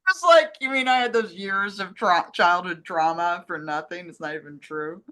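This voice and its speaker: A woman's voice: fairly low pitch, moderate pace, bright, forceful tone. A woman co-hosting a casual film discussion, speaking informally as she reads and discusses listener questions. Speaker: co-host reading listener questions.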